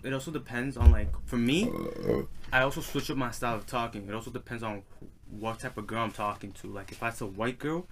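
A young man chatting on a podcast, loud and excitable, cursing freely. His pitch sits in the middle for a man. There is a faint hiss of noise behind him. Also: a little fast. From New York. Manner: loud, excitable, swears a lot. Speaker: podcast co-host. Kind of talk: casual chat.

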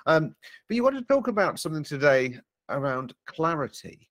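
A man hosts a podcast interview, friendly and upbeat, with a lively rise and fall in his voice. The recording is dry and close. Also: on the high side, normal speed, no echo. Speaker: podcast host.

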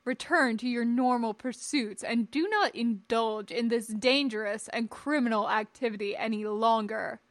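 A woman's voice: a little high, normal speed, hardly any pauses, dry recording, natural voice. A woman voicing an imperious, scornful male Jedi master in a stern, formal, lecturing manner, in an audiobook-style story. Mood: haughty, lecturing. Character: tall imperious old Jedi master, scornful, pompous man.

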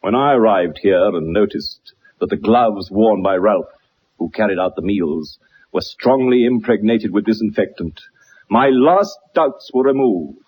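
A brilliant gentleman detective in a radio play. He lectures in formal, methodical phrases with a very lively, swooping delivery and a slightly husky edge. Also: lowish voice, unhurried, muffled recording. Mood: assured, explanatory. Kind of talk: radio drama acting.

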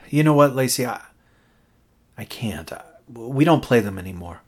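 Jittery, animated radio DJ. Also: male voice, medium pitch, unhurried. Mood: flustered, apologetic. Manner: hesitant, stammering, incredulous. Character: rookie late-night radio DJ, jittery everyman. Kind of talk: horror audio drama acting.

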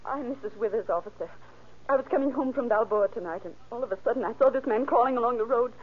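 High-voiced, frightened lady motorist. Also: fast, slightly rough. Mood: shaken, polite. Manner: hesitant, polite, anxious. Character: frightened, timid, well-meaning married woman motorist. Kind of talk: 1940s radio acting. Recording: dull, slightly noisy old radio recording.

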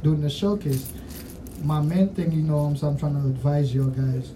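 A man's voice: fairly high pitch, normal speed, dark tone, distant mic, low-quality recording. A man with a Haitian accent interviewing musicians in casual, rambling chat, full of "you know what I'm saying", recorded off-mic with faint noise behind.

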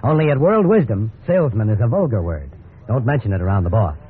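A man's voice: lowish voice, big pitch swings, quick pace, slightly rough, exaggerated voice. An actor playing a breezy, incredulous American salesman husband in a 1950s radio drama, wry and conversational. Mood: wry, confident. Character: cheerful, incredulous suburban husband, an encyclopedia salesman. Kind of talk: 1950s radio drama acting with wry first-person narration. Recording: tinny, hissy 1950s radio recording.

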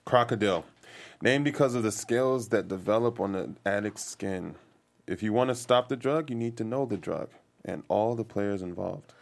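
An actor playing a stern, condescending police officer in a script table read, with an authoritative, menacing manner. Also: male voice, on the low side, moderate pace, gravelly. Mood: grave, briefing. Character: stern, condescending male police officer hunting drug gangs.

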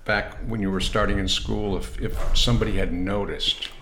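Man, interviewer, lowish voice, gentle questions, echoey room. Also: steady tone, moderate pace, a little hiss or hum.

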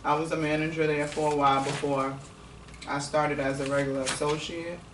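A young man hosts a podcast, chatty and clear as he asks questions and shares his views. He sounds some distance from the mic in an echoey room. A clear hiss sits under his voice. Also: on the high side, level tone, brisk. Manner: clear-spoken, talkative interviewer. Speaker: podcast host. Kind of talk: casual chat.

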